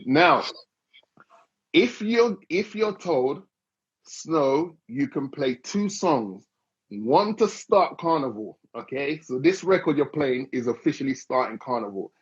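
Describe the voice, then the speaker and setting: A man's voice: mid-range voice, animated, slow pace, husky. A man hosting an interview, teasing his guest and asking long, rambling questions in a casual chat. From Dominica. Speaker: interview host. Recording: dull lo-fi recording.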